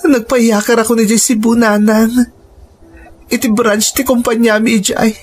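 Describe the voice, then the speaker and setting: Woman as high-voiced heartbroken Filipino daughter. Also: flat delivery, unhurried, natural voice. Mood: hurt, resigned. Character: heartbroken, wounded grown daughter confiding in her mother. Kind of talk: radio melodrama acting. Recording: music bed behind radio drama dialogue.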